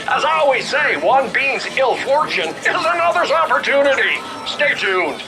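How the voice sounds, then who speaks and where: An actor playing a slick, wry, opportunistic news broadcaster in a science-fiction video game. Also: male voice, very high-pitched, sing-song, normal speed, rough recording. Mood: wry, showy. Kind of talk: showy news broadcast narration.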